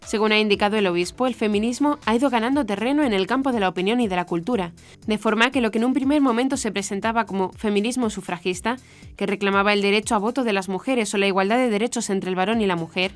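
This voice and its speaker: A woman presenting scripted news in a formal, measured way. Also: medium pitch. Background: background music.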